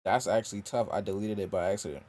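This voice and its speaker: Young adult man, deep low voice, relaxed slangy podcast talk about his songs. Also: fast talker. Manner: loose slangy talk, says "bro" a lot. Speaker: rapper and singer. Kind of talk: casual podcast chat about making music.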